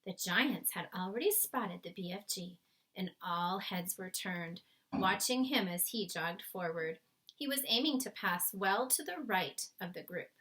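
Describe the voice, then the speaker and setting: Female narrator for a children's book, mid-range and forward, bright voice. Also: medium pitch, lively intonation, a little slow, low-quality recording. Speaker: storybook reader. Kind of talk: scripted narration, children's read-aloud.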